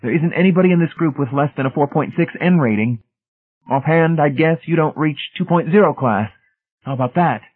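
A man's voice: fairly high pitch, fairly quick, slightly rough, phone-quality sound, voice pushed up. A man voicing a smug young male zealot technician in an audiobook, pompous, declamatory and sanctimonious. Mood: haughty, inexorable.

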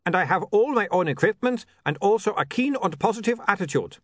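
A man's voice: high-pitched, expressive, fairly quick, fairly bright tone, dry recording, clean studio sound, voice pushed up. A man reading the part of an earnest, formal male vampire photographer with a stiff foreign accent. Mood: eager. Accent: Uberwald. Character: eager prim male vampire photographer, young.

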